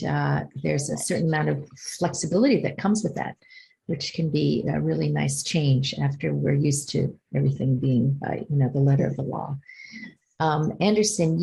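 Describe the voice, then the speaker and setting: Low, gravelly, husky woman moderator, measured and thoughtful. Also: dark tone, low-quality recording. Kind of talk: interview questions.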